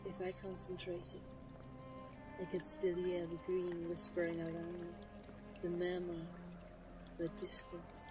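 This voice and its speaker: A woman playing an ancient, brooding nature sorceress who narrates an audio drama in a solemn, measured, literary manner. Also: lowish voice, completely flat delivery, lightly acted. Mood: solemn, reflective. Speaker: first-person narrator. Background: music.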